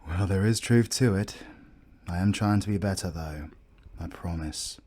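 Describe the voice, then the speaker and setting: A proud British captain , acted by a man, from a fantasy audio drama. His voice sits low and mellow. He speaks formally and with measured care, stiff but courteous. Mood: earnest, wistful. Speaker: voice actor. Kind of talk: romantic fantasy audio roleplay acting.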